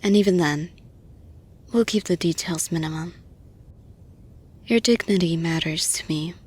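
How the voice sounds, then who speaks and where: A woman playing a caring, persuasive boss in an intimate audio roleplay, soft-spoken and breathy, often dropping to a whisper, deliberate and reassuring. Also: lowish voice. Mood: soothing, reassuring. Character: caring, persuasive female boss offering support to employee.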